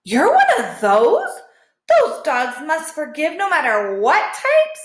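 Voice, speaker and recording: A woman's voice: high voice, wildly swooping pitch, slow, voice pushed up, full character voice. A woman voicing a bitter, indignant small male dog in a storybook, speaking emphatically and with disbelief. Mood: disbelieving, stern. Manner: emphatic, incredulous. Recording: echoey room.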